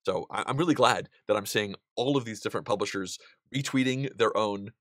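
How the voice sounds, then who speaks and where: A man in his thirties hosting a board game channel, seated at a desk in a small studio and answering viewer questions in a relaxed, chatty way with plenty of ums and restarts. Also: on the low side, brisk, no echo. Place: indoor studio room with a wall of game pieces.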